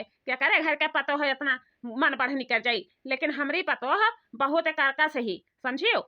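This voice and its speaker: A woman's voice: high voice, lightly acted. A woman playing a grumbling, hungry old village mother-in-law in a comic cartoon, rambling and complaining with an Awadhi accent. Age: older. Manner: complaining, rambling rustic talk. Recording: dull lo-fi sound.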